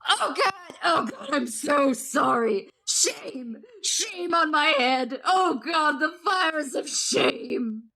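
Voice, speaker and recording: A woman's voice: fairly low pitch, unhurried, gravelly, voice pushed down, rough recording. A woman voicing an overwrought, servile male supermarket manager, melodramatic and pleading, in a comic story. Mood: wailing shame.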